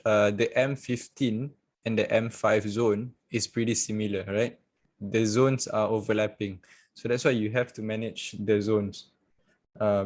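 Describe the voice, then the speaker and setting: A man teaching trading on a live video call, chatty and relaxed, laughing and talking straight to his viewers. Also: on the low side. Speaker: trading coach. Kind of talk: casual live-stream trading lesson.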